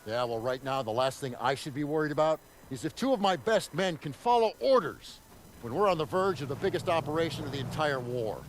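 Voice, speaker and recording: An actor playing a stern, impatient American army commander, scolding and clipped, in a war game cutscene. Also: male voice, fairly high pitch, animated, brisk, slight background noise, close to an everyday voice. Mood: angry, commanding.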